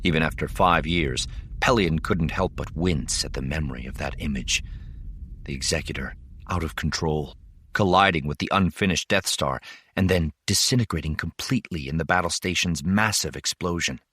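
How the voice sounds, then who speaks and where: A man's voice: very deep voice, moderate pace, a bit bright, breathy edge, no echo. A man reading dramatic scripted fiction as an audiobook narrator.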